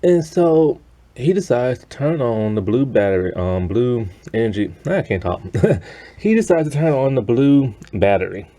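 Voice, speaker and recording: A man giving a casual, rambling spoken review of an animated series in a conversational, easily amused way. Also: mid-range voice, moderate pace.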